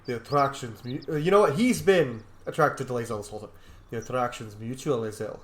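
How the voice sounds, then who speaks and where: A man's voice: mid-range voice, fairly quick. A man hosting a casual video game roleplay commentary, slightly under the weather and a bit sniffly. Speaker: gaming channel host. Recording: roomy echo, faint game music behind. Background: faint game music.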